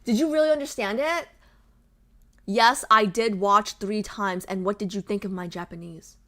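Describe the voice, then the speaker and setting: A woman's voice: mid-range voice, normal speed, fairly bright tone. A young adult woman streamer chatting casually with her viewers, bubbly and full of fillers. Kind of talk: casual chat with live chat.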